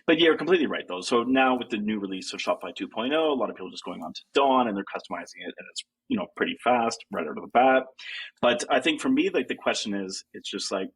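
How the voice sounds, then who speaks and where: Husky-voiced man, chatty and loose. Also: mid-range voice, a bit bright, low-quality recording. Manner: chatty, leans on "like", laughs easily. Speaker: guest, ecommerce consultant. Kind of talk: casual podcast interview.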